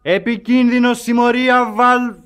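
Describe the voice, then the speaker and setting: An actor playing a pompous town crier who declaims an official proclamation in a radio comedy, halting over long words. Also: male voice, very high voice, steady tone, noisy background.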